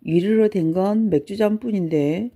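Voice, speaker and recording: Woman plays ancient toothless giant for children's storybook; gruff, blustery, soft-edged tone. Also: medium pitch, moderate pace, lightly acted. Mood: puzzled, gruff. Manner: blustery, exclaiming, grumbling.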